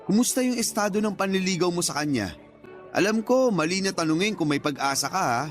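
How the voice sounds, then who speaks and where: A man's voice: high voice, animated, a little fast, soft background music. A man with a Filipino accent playing a blunt, protective friend in a radio drama, talking frankly and in slangy, scolding advice. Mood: curious, probing. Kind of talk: radio drama acting in casual dialogue.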